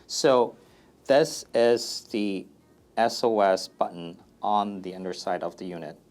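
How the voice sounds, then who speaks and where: A man with a fairly low voice gives a casual product demonstration. He works as a company presenter, answering common questions. His delivery is plain and instructional, taking each point step by step. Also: slow, darkish tone, faint music behind.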